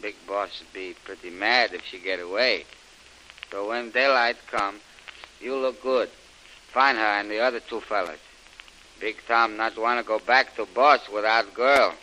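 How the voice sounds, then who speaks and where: A man's voice: lowish voice, fairly even intonation, a little slow, sharp tone, rough recording. An actor playing a burly, brutish, gloating henchman who blusters in broken pidgin English in an old radio adventure serial. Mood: leering, scheming. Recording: hissy old radio recording.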